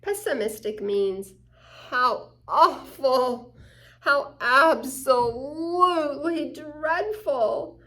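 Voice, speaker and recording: Female story time host, unhurried and expressive, slightly high voice, simple teaching tone for young kids. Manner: slow, deliberate, acting out quoted lines. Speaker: story time host reading a picture book. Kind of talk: expressive children's read-aloud with asides to listeners.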